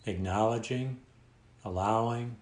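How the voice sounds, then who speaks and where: A man leading a guided meditation in a calm, unhurried manner, leaving long pauses between phrases. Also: lowish voice. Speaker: meditation teacher. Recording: echoey room sound.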